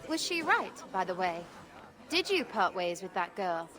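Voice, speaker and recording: A woman playing a gracious, gently probing villager of the Viking age in a video game cutscene. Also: medium pitch, sing-song, a little slow.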